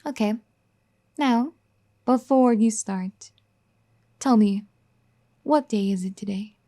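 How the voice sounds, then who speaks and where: A woman voicing a firm but caring young wife who scolds and then gently pleads in an audio roleplay. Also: fairly high pitch, slow. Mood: scolding, sassy. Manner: bossy teasing scolding that turns gentle and pleading. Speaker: ASMR roleplay performer. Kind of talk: audio roleplay addressed to the listener.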